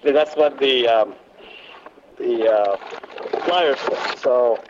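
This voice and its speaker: A man leading a church workshop talk, loud but not booming, halting with many fillers and quizzing the audience. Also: background noise. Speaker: workshop teacher. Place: meeting room, away from the podium. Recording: dull lo-fi recording.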